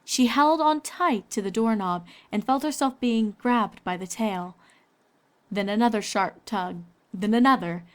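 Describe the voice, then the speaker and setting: A woman reading scripted story narration as the narrator of a fan fiction. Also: medium pitch.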